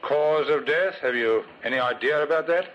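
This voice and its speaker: A man's voice: on the high side, normal speed, close to an everyday voice. An actor in an old radio drama playing a methodical police inspector, probing and a little hesitant in his questions. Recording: muffled, faintly noisy old radio.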